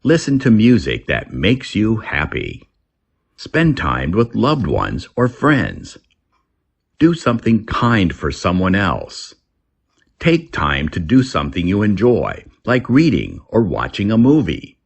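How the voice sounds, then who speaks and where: A man reading scripted English-learning texts aloud as a teacher, in a gravelly, husky voice. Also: on the low side, huge pitch swings, takes it slowly.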